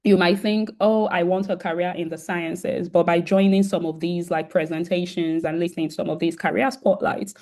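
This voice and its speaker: Woman with a sore throat on a video call, kinda low and gravelly, lots of ums, talking to students. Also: steady tone, moderate pace, hardly any pauses, a little mellow. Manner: many "um" fillers, restarts, addresses students directly. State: sore throat, occasional coughing. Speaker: founder and host of a virtual career event. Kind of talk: casual welcoming talk on a video call.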